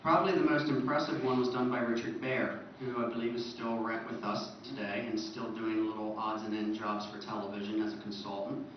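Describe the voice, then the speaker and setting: A man's voice: on the low side, little pitch movement, a little fast, few pauses. A man giving an informal illustrated lecture as a presenter at a fan convention, recorded in an echoey room from a distance. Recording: echoey room, distant muffled mic, faint noise.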